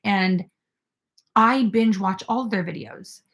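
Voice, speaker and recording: A woman's voice: mid-range voice, slow pace, a bit bright. A young woman hosting a casual crochet live stream, chatting with viewers and filled with "like" and "um". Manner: chatty, filler-heavy, reads viewer comments aloud.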